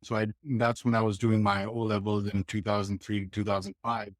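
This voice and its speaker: A man in his thirties from Pakistan recounts his upbringing in a reflective, unhurried voice that sits fairly low. He circles back and restarts phrases as he remembers. The sound is dry and close, with no room around it. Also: no room sound. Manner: reflective, steady, with frequent restarts. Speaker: podcast guest. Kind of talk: casual interview storytelling.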